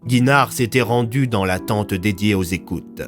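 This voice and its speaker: Low-voiced man narrating a story. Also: unhurried, soft background music. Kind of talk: scripted narration of audio fiction.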